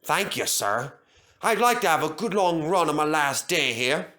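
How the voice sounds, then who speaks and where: A man's voice: a little high, expressive, a little slow, sharp tone. A man voicing an eager, plucky, polite steam engine in a children's story.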